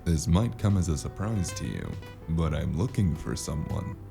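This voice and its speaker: A man narrating a noir audio drama as the lead, dry and wry, with a deadpan manner, over a music score. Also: very deep voice, normal speed, vocal fry. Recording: music score playing underneath.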